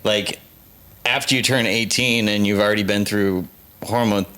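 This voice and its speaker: A man in his forties with a low voice, talking chattily on a panel with lots of "like". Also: a bit bright. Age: 40s. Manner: chatty, filler-heavy with frequent "like". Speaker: panel guest. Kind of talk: casual panel discussion.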